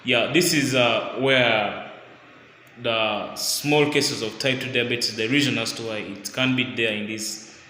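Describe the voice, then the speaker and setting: A man from Uganda, giving an informal health talk and often pausing with fillers and restarts. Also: medium pitch, fairly even intonation, pushed and bright, slight background noise. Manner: halting, many fillers and restarts. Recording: echoey room with long reverb.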